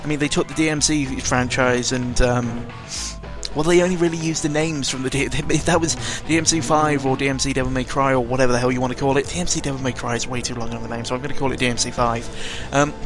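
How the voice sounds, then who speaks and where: A man's voice: mid-range voice, level tone, fast. A British man giving casual, unscripted video game commentary, rambling along in a sarcastic, mildly sweary way. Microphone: close microphone. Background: video game music.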